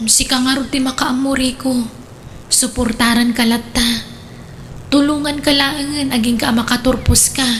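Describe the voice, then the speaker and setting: A woman's voice: high voice, flat delivery, moderate pace, fairly bright tone, a little hiss or hum. A woman playing an anxious young female student in an Ilocano radio drama. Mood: pleading, resigned.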